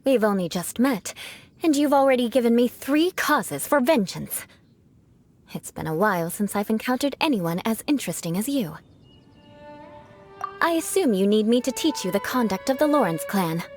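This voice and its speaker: A woman's voice: on the high side, animated, moderate pace, darkish tone, soft background music, lightly acted. An actress playing a haughty, cool young noblewoman knight with a formal, vengeful air in a video game. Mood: haughty, intrigued. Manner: poised, formal, faintly imperious.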